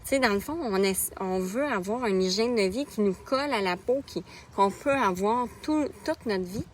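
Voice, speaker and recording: A Québécois woman in her thirties chats in a loose, conversational way, coaching on nutrition and breaking off to greet viewers by name. Her voice sits at a middle pitch. A faint hiss lies under the recording. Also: normal speed. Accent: Québécois French. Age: 30s. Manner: casual, chatty, greets viewers by name mid-sentence. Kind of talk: live stream chat with viewers.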